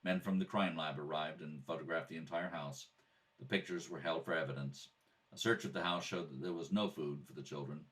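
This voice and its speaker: A man playing a calm, patient police detective in an old-style radio drama, matter-of-fact and deadpan. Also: very deep voice, brisk, pitched below the performer's own voice. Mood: deadpan, factual. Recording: distant mic in a home kitchen.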